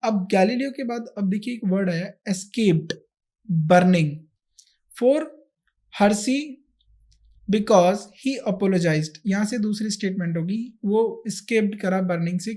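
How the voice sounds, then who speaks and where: A man's voice: high voice, slow pace, darkish tone. A man with an Indian accent teaching a grammar lesson, explaining step by step with repetition and rhetorical questions. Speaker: English grammar teacher for exam candidates. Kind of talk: explanatory lesson, switching between Hindi and English.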